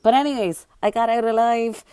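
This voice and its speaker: A hoarse woman hosting a casual solo podcast, chatting loosely with plenty of fillers and tangents. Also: fairly high pitch, steady tone, brisk, mellow, no room sound, clean studio sound. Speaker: podcast host.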